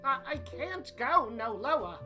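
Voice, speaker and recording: A woman's voice: high voice, very animated, measured pace, over music. A woman playing a timid, stammering, flustered underling in an audio drama. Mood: nervous, pleading. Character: timid, nervous Hatter and other small witnesses.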